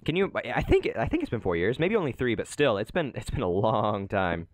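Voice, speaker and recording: A man's voice: on the low side, huge pitch swings, a little fast, slight background noise. A young man hosting a gaming channel, chatting casually and rambling while he plays with friends, often laughing. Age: young adult. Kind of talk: casual gameplay commentary.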